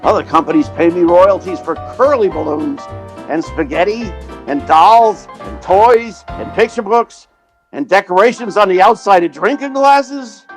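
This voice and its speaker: A slick theatrical agent, acted by a man for an old-time radio play. His voice sits high for a man and he spins his tale like a chatty salesman, with light music behind him. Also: a little slow. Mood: excited, boastful. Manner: slick hustler, chatty storyteller. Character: fast-talking, scheming male theatrical agent narrating his story.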